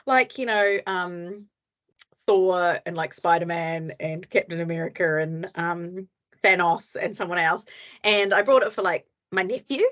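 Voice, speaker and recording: A woman hosting an online meeting over a video call, chatty and quick to laugh. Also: on the low side, a bit bright, muffled recording. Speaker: meeting host.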